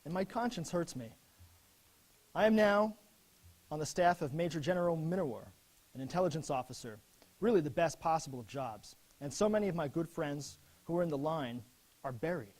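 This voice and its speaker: A young army officer played by a man reads his letters home in a dramatic historical presentation. His voice sits a little high for a man, and he speaks earnestly and solemnly, with measured grief. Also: animated, a little mellow. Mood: guilty, troubled. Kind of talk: dramatic reading of wartime letters.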